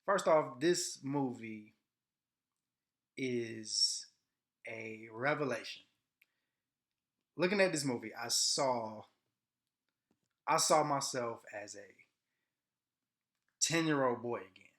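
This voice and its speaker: A man hosting a casual, unscripted movie review, speaking in halting, deliberate phrases with pauses. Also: mid-range voice, fairly bright tone.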